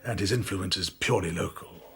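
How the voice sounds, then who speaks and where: A man's voice: deep voice, brisk, creaky, fairly bright tone, a little hiss or hum. An actor playing a learned, deferential churchman in a radio drama, speaking carefully with courteous hesitation. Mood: measured, hesitant. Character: deferential, learned churchman advising an ailing pope.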